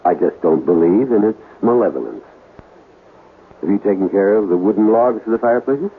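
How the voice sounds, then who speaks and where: Calm ghost hunter, tinny noisy recording. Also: male voice, on the low side. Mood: assured. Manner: measured, self-assured. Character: calm, confident professional male ghost hunter. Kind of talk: 1970s radio drama acting.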